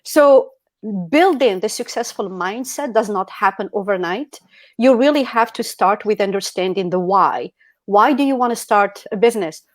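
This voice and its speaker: A woman's voice: mid-range voice, moderate pace, no room sound. A middle-aged woman from Algeria speaking as a guest presenter in a motivational presentation. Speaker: guest speaker at an online e-commerce summit. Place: indoors on an online video call.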